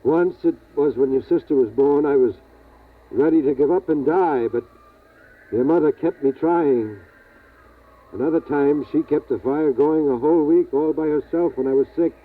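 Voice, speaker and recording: Actor as a middle-aged father, grave, halting, protective, slightly raised pitch, 1950s radio acting, thin tinny recording. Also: lightly acted. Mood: solemn, tender. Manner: measured, grave, halting reassurance. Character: protective, weary father of a family surviving catastrophe.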